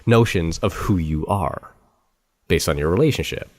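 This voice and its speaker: A man hosting a podcast interview, talking in a relaxed, agreeable, conversational way. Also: low voice, moderate pace. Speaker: podcast host. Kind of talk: casual interview chat.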